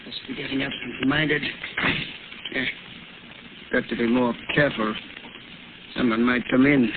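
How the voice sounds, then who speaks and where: A man's voice: mid-range voice, steady tone, measured pace, heavy background noise, lightly acted. An actor playing a bitter, scheming murderous male city chemist who mutters and gloats to himself, in 1940s radio acting, on a tinny old broadcast. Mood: uneasy.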